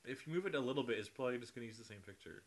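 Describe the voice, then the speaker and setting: A man's voice: medium pitch, rapid-fire. A man chatting casually with friends as a podcast guest in a roomy, echoing space. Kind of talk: casual banter among friends. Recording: roomy, echoing room sound.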